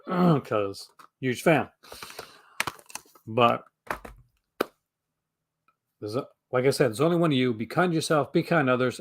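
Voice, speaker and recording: A young man hosting a casual live stream, rambling with lots of fillers and restarts, his voice going hoarse. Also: mid-range voice. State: losing his voice. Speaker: host.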